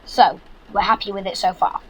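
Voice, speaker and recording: High-voiced sarcastic Yorkshire teenage lad, acted by a young man. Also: fairly even intonation, normal speed, a little hiss or hum, natural voice, poor audio. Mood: lecturing. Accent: Yorkshire English. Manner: sarcastic, blunt. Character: sarcastic, exasperated fifteen-year-old son, keen gamer. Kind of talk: naturalistic audio drama acting.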